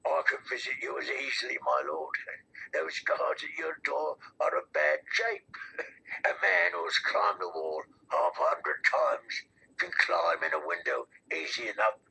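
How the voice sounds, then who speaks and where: A man voicing a sly, mocking wildling in a fantasy audiobook, with a low, gravelly, taunting delivery. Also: expressive, bright, forceful tone, a little hiss or hum, heavily acted, rough recording. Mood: menacing. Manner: taunting, unhurried. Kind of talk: fantasy audiobook character reading.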